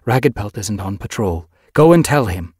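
A man voicing a wise old female cat in an audiobook story, stern but caring, with measured, admonishing speech. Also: on the low side, animated, a little mellow, breathy edge, dry recording, clean studio sound, pitched above the performer's own voice. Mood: brisk, insistent.

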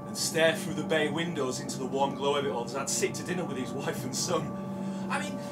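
An actor playing a bitter, obsessive down-and-out man in a live audio drama monologue, halting and intense as he confesses. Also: on the high side, lightly acted. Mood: uneasy, confessional. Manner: halting, brooding, intense storytelling. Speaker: stage actor. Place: small dark café venue with seated audience. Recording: distant live café stage recording, music. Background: music.

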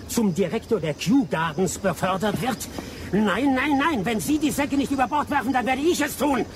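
An actor playing a bitter, vengeful adventurer in a German radio play, telling things with dramatic emphasis. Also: male voice, high voice, background noise. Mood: furious. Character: bitter, vengeful adventurer Anthony, a betrayed Englishman.

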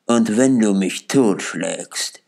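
A man's voice: fairly low pitch, takes it slowly, vocal fry, darkish tone. A man voicing a shrewd old male junk dealer in an audiobook, grumbling and mock-generous as he haggles. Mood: haggling.

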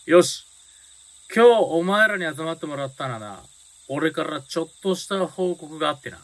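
An actor playing an earnest high-school gang boss in a Japanese radio drama, speaking sincerely in rough delinquent slang. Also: male voice, animated, normal speed. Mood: earnest, resolved.

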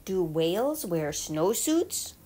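A woman's voice: on the low side, very animated, slow, dark tone, faint music behind. A woman reading a children's picture book aloud like a teacher, with emphatic storytelling delivery. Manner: clear storytelling delivery with emphatic questions and answers.

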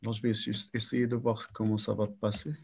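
Older male lecturer, gravelly and husky, measured and repetitive delivery, webcam audio. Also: on the low side, level tone. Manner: measured, repeats words while searching phrasing. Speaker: sports science speaker. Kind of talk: video conference lecture. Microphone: webcam on video call.

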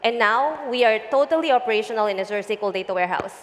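A woman with a fairly high voice speaks in a measured, explanatory way as the guest of an onstage tech interview. She wears a headset mic on a big conference stage, and the sound carries a long hall reverb. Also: animated, a little hiss or hum. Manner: measured, explanatory, some fillers. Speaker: guest, data engineering lead. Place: large conference stage before an audience.

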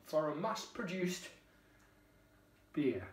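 A wry, talkative Geordie man in his fifties, reviewing a beer at his kitchen table. His voice sits a little high for a man and is caught by a camera across the room, so it sounds distant and echoey. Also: very animated, takes it slowly. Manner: chatty, wry, swears casually. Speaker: beer vlogger. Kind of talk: casual beer review chat. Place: home kitchen, indoors.

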